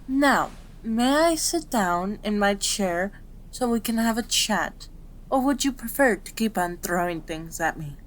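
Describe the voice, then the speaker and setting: A woman voicing a gentle, patient female kitsune doctor in an ASMR roleplay, with a soothing, polite, measured manner. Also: mid-range voice, lively intonation, lightly acted. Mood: calm, reassuring.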